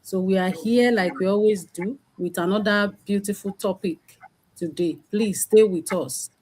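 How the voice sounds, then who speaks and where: A Nigerian-accented woman greets livestream viewers in a warm, welcoming way. Her voice sits at a middle pitch. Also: moderate pace, poor audio.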